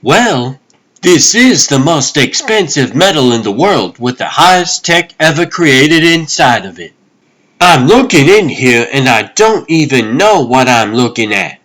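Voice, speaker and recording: A man's voice: mid-range voice, very animated, unhurried, fairly bright tone. A man playing an exasperated young government agent in a comic dub, sarcastic and indignant. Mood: exasperated, urgent.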